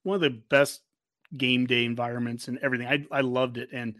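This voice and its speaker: A man's voice: mid-range voice, flat delivery, brisk, fairly bright tone, no room sound. Podcast host, American man in his forties, enthusiastic and rambling sports talk, very dry recording. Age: 40s. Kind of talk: casual sports chat.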